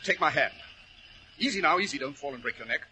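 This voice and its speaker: An actor playing a commanding, coolly confident detective in a 1940s radio drama, with crisp, formal, dryly ironic delivery. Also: male voice, fairly high pitch, lively intonation, fast, bright, forceful tone, a little hiss or hum. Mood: calm, assured. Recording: old 1940s radio recording.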